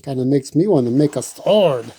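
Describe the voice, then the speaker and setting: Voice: medium pitch, animated, moderate pace, warm tone, breathy edge. A storytime host reading a picture book aloud and adding playful, rambling, chatty asides.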